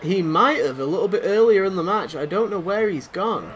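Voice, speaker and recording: A man rattles through excitable play-by-play of a wrestling video game, rambling and poking fun at himself. His voice sits a little high and is going hoarse from overuse. The recording carries a clear layer of background noise. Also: a little fast. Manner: excitable, rambling, self-deprecating. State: losing his voice. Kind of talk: play-by-play commentary. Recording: noisy background.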